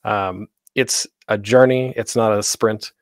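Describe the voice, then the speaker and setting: A man's voice: lowish voice, steady tone, slow pace, no echo. A man hosting an instructional talk in a casual, rambling way, often interrupting himself. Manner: informal, rambling, self-interrupting. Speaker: panel host teaching audio drama production. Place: close, dry home recording for an online panel.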